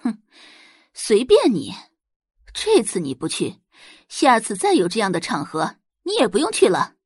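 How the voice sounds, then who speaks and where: A woman's voice: mid-range voice, huge pitch swings, unhurried, a little mellow, slightly rough, dry recording, clean studio sound, heavily acted. A woman voicing an irritable, scornful mother with a huffy, scolding manner in an audio novel. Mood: angry, threatening.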